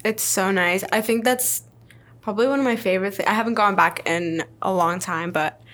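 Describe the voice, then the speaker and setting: A woman's voice: medium pitch, brisk, a bit bright. Woman, Arabic mother tongue, enthusiastic, laughs a lot. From Canada. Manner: enthusiastic, laughs often, repeats words. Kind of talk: casual chat.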